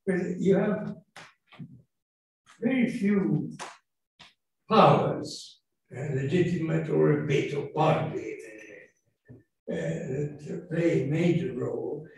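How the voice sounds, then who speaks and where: A man in the audience, asking a long, rambling, halting question. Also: on the high side, creaky. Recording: echoey hall, distant mic.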